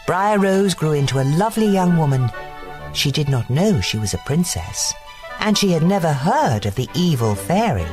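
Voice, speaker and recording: A woman narrating a bedtime fairy tale, slow and expressive, with music behind. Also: sits low. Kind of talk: bedtime story reading.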